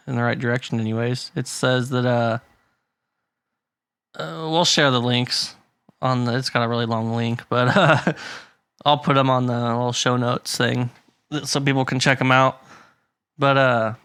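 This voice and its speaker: A man's voice: mid-range voice, a bit bright, smooth, no echo. A man co-hosting a podcast in casual chat, talking in a loose, hedging way and laughing often. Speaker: podcast co-host.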